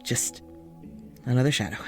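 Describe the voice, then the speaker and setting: An actor playing an exhausted, anxious doctor recording an audio journal, speaking haltingly and wearily. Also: male voice, over music, close to an everyday voice. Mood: weary. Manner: halting, weary, pleading, breaks into shouting. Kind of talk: audio drama monologue.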